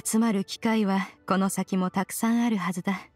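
A woman's voice: medium pitch, fairly even intonation, moderate pace, darkish tone, no room sound, lightly acted. Stately, proud immortal spirit woman played by an actress. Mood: composed, approving. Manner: stately, archaic, haughty. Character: proud, ancient female adeptus spirit, aloof yet caring. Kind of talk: Japanese game voice acting. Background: music.

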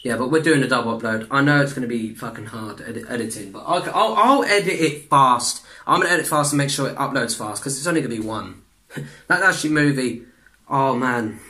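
A young man, chatting in a rambling way for a reaction vlog in his bedroom, restarting sentences, clearing his throat and swearing often. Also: mid-range voice, a little fast, pushed and bright. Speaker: vlogger reacting to videos. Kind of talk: casual reaction vlog chat. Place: small bedroom indoors.

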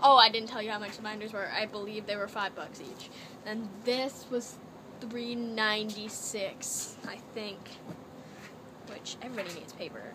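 A young woman from Canada, chatting and rambling through a haul video in a playful put-on accent, recorded on a laptop webcam in her bedroom. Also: mid-range voice. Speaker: vlogger. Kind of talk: casual haul video chat. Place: small cluttered bedroom, indoors. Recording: noisy laptop webcam audio.

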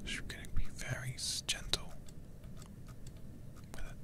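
A man livestreaming a game ASMR-style, murmuring to himself and to his viewers close to the microphone. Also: a little slow. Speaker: livestreamer playing a horror game. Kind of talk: whispered ASMR game stream chat.

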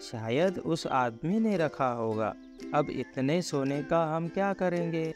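A man playing a humble, kind village farmer in an animated moral story, speaking in a gentle, reassuring way. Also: on the high side, slow pace. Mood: baffled. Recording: background music under the voice.

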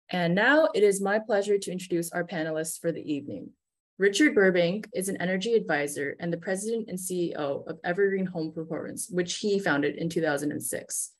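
A woman co-hosting a webinar over a video call, steadily reading sponsor thanks and panelist introductions. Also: lowish voice. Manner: steady scripted read. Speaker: webinar co-host.

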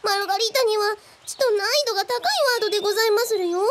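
Very high-pitched, quaint little genius girl. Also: fast. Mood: curious. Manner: archaic, over-formal, quirky polite speech. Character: polite, quaint genius Spanish girl, cheerfully curious. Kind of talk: radio drama acting. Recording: clear background noise with birdsong.

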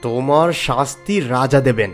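A man's voice: medium pitch, normal speed, close to an everyday voice. A man voicing a stern, righteous young prince in a fairy-tale cartoon, commanding and formal. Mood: angry, accusing. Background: background music.

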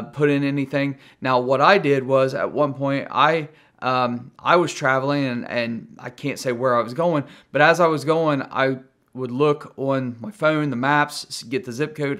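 A man's voice: medium pitch, fairly bright tone. A middle-aged man with an American accent gives a casual, conversational product review to camera as the host. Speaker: gadget reviewer and video host.

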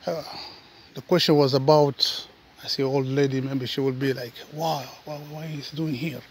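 A man, not a native English speaker, talking casually to his viewers while walking outdoors and filming with his phone, often calling them guys and dropping to soft asides. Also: medium pitch, steady tone, moderate pace, a little hiss or hum. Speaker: vlogger. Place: outdoors on a suburban footpath.

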